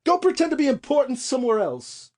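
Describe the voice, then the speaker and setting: Casting: male narrator as ruthless woman director, high-pitched, commanding, short fuse, audiobook villain. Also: sing-song, brisk. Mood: angry, frantic. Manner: commanding, threatening, sharp. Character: menacing, short-tempered woman director with a gun.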